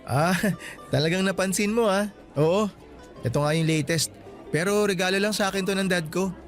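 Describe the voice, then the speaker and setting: A man's voice: high-pitched, normal speed, faint music behind, close to an everyday voice. A man voicing a friendly young male student from a well-off family, easygoing in a radio drama. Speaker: voice actor.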